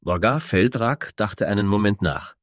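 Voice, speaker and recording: A man's voice: lowish voice, normal speed, dry recording, clean studio sound. A man reading scripted narration for a fantasy story as its narrator.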